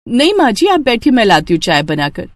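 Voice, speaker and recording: A woman's voice: fairly high pitch, huge pitch swings, fairly quick, a little hiss or hum. A woman voicing a young daughter-in-law in a moral story cartoon, deferential and eager on the surface, a little sly. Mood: obedient. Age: young adult.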